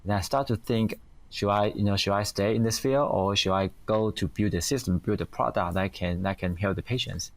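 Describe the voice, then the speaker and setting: A middle-aged man from Taiwan with a Taiwanese accent, giving halting, reflective interview answers as a guest. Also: lowish voice, gravelly. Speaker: guest, company founder and engineer.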